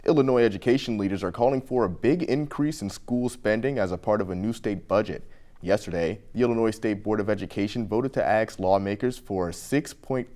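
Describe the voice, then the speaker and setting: Low-pitched American male news anchor. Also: darkish tone. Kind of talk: scripted local news read.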